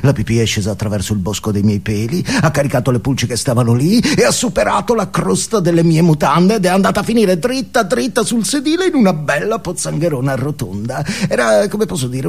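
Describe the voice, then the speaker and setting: An actor playing a deranged, filthy vagrant in a theatrical comic monologue, leering, rambling and taunting with gleeful menace. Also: male voice, on the high side, gravelly, breathy edge, full character voice. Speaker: stage actor.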